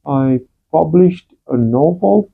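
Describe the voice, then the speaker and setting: A man with a Romanian accent introduces his channel, halting often and filling gaps with soft fillers. His voice has a gravelly fry, and he speaks slowly. The sound is thin and phone-like. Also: fairly high pitch, animated. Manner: slow and halting, many uh fillers. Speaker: channel host, calligraphy teacher. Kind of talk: hesitant personal introduction.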